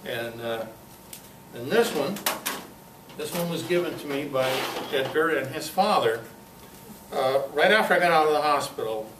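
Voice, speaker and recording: A man with a slightly high voice gives a folksy, halting show-and-tell talk, dropping in jokes. He is picked up from a distance in an echoey room with noise in the background. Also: lively intonation. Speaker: club speaker giving a talk. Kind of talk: informal show-and-tell talk to a club audience. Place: club meeting room, live talk.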